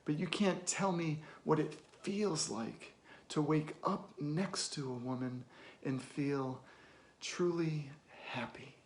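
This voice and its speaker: Casting: male actor as middle-aged therapist, weary and blunt, higher-set gravelly voice, dramatic film monologue, deliberate delivery. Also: expressive, heavily acted. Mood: tender, grave.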